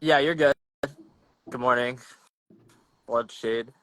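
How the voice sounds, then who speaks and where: A man's voice: mid-range voice, steady tone, slow pace, fairly bright tone, low-quality recording. A young man hosting a podcast in a casual, slangy, rambling chat over a video call, often restarting his sentences. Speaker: podcast host.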